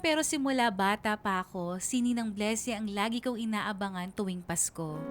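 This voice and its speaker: A woman with a Filipino accent telling a scripted first-person story as a radio narrator. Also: mid-range voice. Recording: music playing under the voice.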